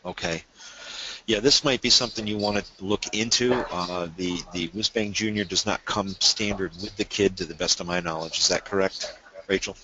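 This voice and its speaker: Low, bright-voiced male webinar presenter. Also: fairly even intonation, moderate pace, muffled recording, a little hiss or hum. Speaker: webinar presenter, technical expert. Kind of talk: casual technical explanation with questions.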